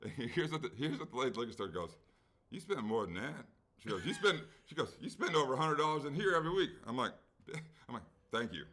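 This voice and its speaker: A man's voice: mid-range voice, quick pace. A middle-aged man on a sports betting podcast, telling a long everyday story and acting out the people in it, with casual swearing. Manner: animated storyteller, acts out quoted people, swears freely. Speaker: podcast co-host. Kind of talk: casual chat, long anecdote.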